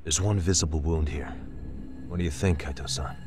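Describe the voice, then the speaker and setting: An actor playing a serious, pushy, determined detective in an English video game dub. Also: male voice, very deep voice, fairly even intonation, fairly quick, over music. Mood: focused. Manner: serious, pressing. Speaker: private detective character.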